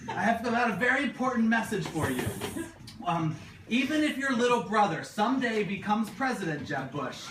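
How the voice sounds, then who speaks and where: A man voicing an excitable young time traveler in an amateur comic puppet show, halting and flustered, repeating himself. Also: high voice. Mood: excited, comic. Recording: echoey distant live recording, very noisy.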